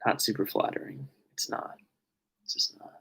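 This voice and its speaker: A young man chatting casually on a live stream and answering viewer questions, rambling and correcting himself, his voice growing raspy from talking for an hour. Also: normal speed, whispered, low-quality recording. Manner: rambling, self-correcting, trailing off quietly. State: voice getting raspy from long talking.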